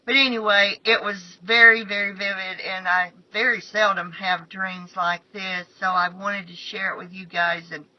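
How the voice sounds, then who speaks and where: Slightly raspy woman, dull phone recording. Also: medium pitch, level tone, no echo. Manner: halting, repeats phrases emphatically. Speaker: channel host. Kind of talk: personal storytelling, recounting a prophetic dream.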